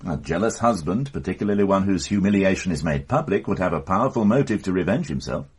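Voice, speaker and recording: Give me a measured, probing detective inspector with a deep, slightly husky voice. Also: male voice, animated, a little mellow, heavily acted. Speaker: police inspector. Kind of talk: radio drama acting.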